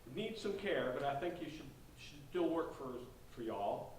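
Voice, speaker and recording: Man acting polite folksy realtor, higher-pitched voice, sales patter, stage reading, distant in a very echoey hall. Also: expressive, moderate pace, natural voice. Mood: courteous, selling. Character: polite Southern real estate agent showing an old inn. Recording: echoey hall, distant mic, faint noise.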